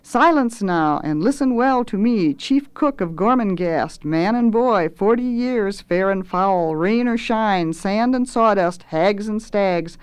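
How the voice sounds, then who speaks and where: A woman's voice: mid-range voice, animated, slow, hardly any pauses, smooth, no room sound. A woman voicing a bloated, drunken male head cook in a storybook, bombastic and wheedling as they relish every insult. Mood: gloating, commanding. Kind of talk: dramatic storybook reading.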